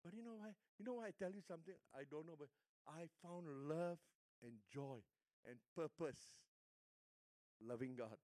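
An older Singaporean pastor delivers a sermon, sliding from hushed, intimate asides to loud, emphatic exhortations. His voice sits a touch high for a man. The sound is close and dry, with almost no room around it. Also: normal speed, dry recording. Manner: storytelling, swings between hushed and emphatic.

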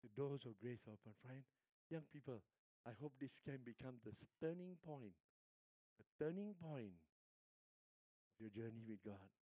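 An older man with a Singaporean accent, a pastor preaching a sermon and telling stories, moving between hushed lines and emphatic ones. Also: mid-range voice, animated, normal speed, pauses often, no echo, rough recording.

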